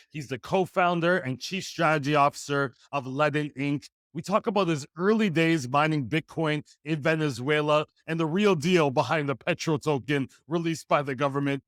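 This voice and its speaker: A man's voice: a little high, normal speed, hardly any pauses, a bit bright, dry recording, studio quality. A man hosting a podcast, giving an upbeat intro and asking interview questions. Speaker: podcast host.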